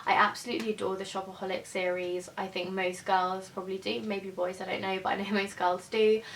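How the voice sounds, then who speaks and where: A woman's voice: flat delivery, brisk. Chatty woman, mic at a distance. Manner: chatty, unscripted, hesitant. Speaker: book vlogger. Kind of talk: casual book review chat. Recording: voice from a distance over faint rumble.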